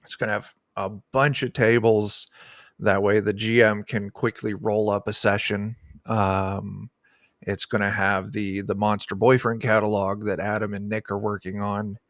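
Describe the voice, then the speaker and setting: A man in his thirties or forties speaks casually as an interview guest. His voice is fairly low and recorded close, with very little room sound. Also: little pitch movement, a little slow, fairly bright tone, no echo. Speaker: game designer, interview guest. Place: indoor room, video call. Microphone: close desk microphone.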